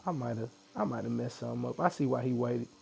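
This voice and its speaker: A man's voice: mid-range voice, fast, creaky. A man in his forties from Missouri, chatting casually and joking as a guest while getting tattooed. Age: 40s. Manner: relaxed, joking, laughs often. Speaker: podcast guest. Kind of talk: casual conversation. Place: tattoo studio, being tattooed.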